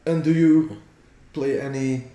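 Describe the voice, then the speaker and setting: Flemish male co-caster, chatty and easygoing, native Dutch, average pitch, reverberant room. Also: unhurried. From Belgium. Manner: relaxed, chatty, reads viewer comments. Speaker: stream commentator. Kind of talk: casual play-by-play commentary. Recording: roomy echo.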